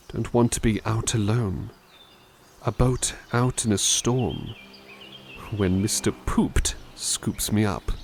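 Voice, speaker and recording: Soft-spoken kindly butler, played by a man: low, slow and unhurried, thoughtful poetry reading for ASMR. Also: slight background noise. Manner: soft, musing, thoughtful asides between poems. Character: gentle, kindly male butler reading aloud.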